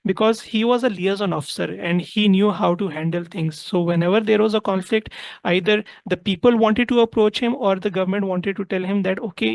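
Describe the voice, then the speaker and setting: A woman's voice: medium pitch, no room sound, rough recording. A woman from Pakistan with a Pakistani accent, telling a personal story earnestly as an interview guest and often rephrasing points.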